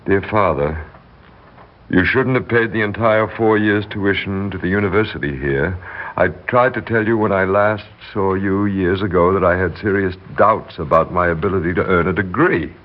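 An actor playing a suave, scheming husband posing as a wealthy householder in a 1960s radio drama, smooth and commanding, curt with intruders. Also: male voice, deep voice, close to an everyday voice. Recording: muffled, noisy 1960s radio recording.

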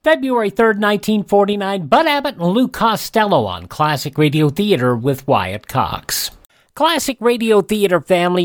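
A male radio host delivers a conversational sales read. His intonation is lively, and the close studio mic gives a very dry sound. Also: fairly high pitch, dry recording.

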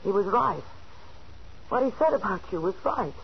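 An actress playing a lonely, desperate unfaithful wife in a halting, pleading way, in a 1940s radio thriller. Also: female voice, mid-range voice, expressive, gravelly. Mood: tense, defiant. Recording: muffled, noisy 1940s radio recording.

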